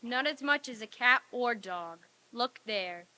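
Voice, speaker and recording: A woman's voice: on the high side, expressive, a little slow, bright, forceful tone, exaggerated voice. A woman playing a stern, commanding guide to grim visions in a staged radio play reading. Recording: hissy, noisy live stage recording.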